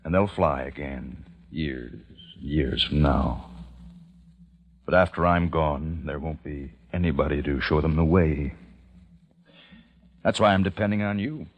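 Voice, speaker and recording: Deep-voiced, weary survivor in radio drama. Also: male voice, unhurried, long pauses, highs cut off, heavily acted. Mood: gentle, earnest. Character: weary, grieving male survivor leading a small community. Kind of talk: old-time radio acting with first-person narration. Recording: old radio recording with music behind.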